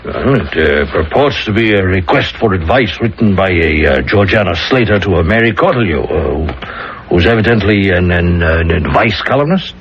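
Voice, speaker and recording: An actor playing a nervous, evasive lawyer with a hesitant, indignant way of talking, in 1970s radio drama style. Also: male voice, low voice, animated, moderate pace, fairly bright tone. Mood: flustered, indignant. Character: evasive, nervous male lawyer conducting a secret affair. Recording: dull, noisy old radio recording.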